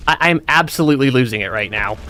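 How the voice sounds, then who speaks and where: A man with a bright, tense voice that swings widely in pitch while he streams a game. His voice is going hoarse and breaks now and then, and he giggles and stammers through his casual commentary. Music plays faintly behind him. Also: expressive, fast talker. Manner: giggly, laughs often, stammers and repeats words. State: losing his voice, voice cutting out.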